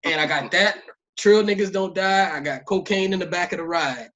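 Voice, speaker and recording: Higher-voiced man, casual podcast interviewer. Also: normal speed, fairly bright tone, muffled recording. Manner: informal, slangy. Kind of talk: casual enthusiastic hip-hop interview chat.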